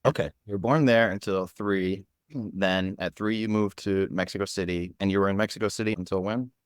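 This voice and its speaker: A man with a very low voice hosting a podcast interview. He asks questions in a halting, stop-start way. The sound is close and dry, with no room echo. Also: fairly even intonation, clear, resonant tone. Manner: halting, restarts mid-question. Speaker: podcast host.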